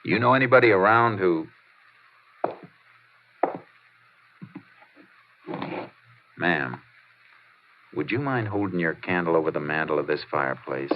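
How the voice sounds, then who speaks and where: An American man acting a steady, laconic Texas Ranger in an old radio drama, courteous but determined, with clipped matter-of-fact delivery. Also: low voice, brisk, heavy background noise. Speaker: lead actor and narrator. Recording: muffled old radio recording with music.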